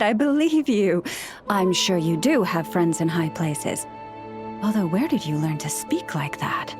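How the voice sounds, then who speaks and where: An actress playing a gentle, patient young woman who speaks with animals in a video game, polite and measured. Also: mid-range voice, close to an everyday voice. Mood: coaxing, wry.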